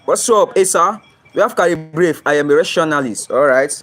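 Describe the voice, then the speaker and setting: A man's voice: on the high side, brisk, a bit bright, faint music behind. Nigerian man livestreaming from his phone. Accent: Nigerian English. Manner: reads viewer comments aloud and answers them, often asks "you understand?". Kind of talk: casual livestream chat. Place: outdoors around his home and gate. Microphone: phone camera, flipped to show surroundings.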